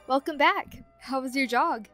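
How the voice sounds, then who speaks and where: A woman playing a friendly, teasing young ghost roommate in an ASMR roleplay audio drama, chatty and expressive. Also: high voice, a little slow, music in the background. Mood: cheerful.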